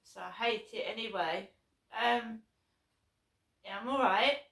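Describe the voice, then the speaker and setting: Hoarse woman, slow rambling talk. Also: on the high side, fairly bright tone. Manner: rambling, repeats and restarts, frank with swearing. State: hoarse, sore throat. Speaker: vlogger. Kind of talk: casual personal vlog storytelling. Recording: echoey room, voice from a distance.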